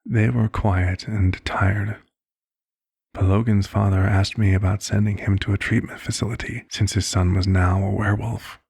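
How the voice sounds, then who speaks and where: Man playing a low, husky, hushed vampire doctor. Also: level tone, no room sound, clean studio sound. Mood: somber, reflective. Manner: measured, hushed, reflective storytelling. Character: calm, caring male vampire doctor recounting a past case. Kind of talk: ASMR roleplay monologue.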